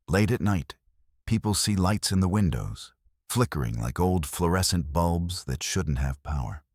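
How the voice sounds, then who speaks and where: A man reading scripted horror stories as a narrator, with a measured, ominous delivery. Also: very deep voice, dry recording, clean studio sound.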